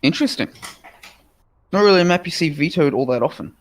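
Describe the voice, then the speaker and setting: An Australian man casts a live match over a headset mic. His delivery is upbeat and chatty, full of player stats and quick asides. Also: on the high side, expressive. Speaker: esports caster. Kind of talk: live game commentary.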